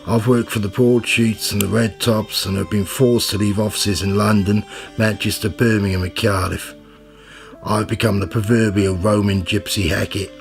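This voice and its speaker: A man's voice: on the low side, gravelly. A man in his fifties playing a cynical, world-weary journalist in a radio play, with a wry, dry manner. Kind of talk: radio play narration and dialogue.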